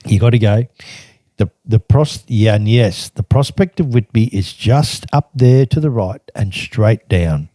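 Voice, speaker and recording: A man's voice: on the low side, lively intonation, unhurried, dark tone, no room sound. An older man reading from his old travel journal and telling the stories behind it in a casual, chatty way, laughing often. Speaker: podcast guest recounting travels.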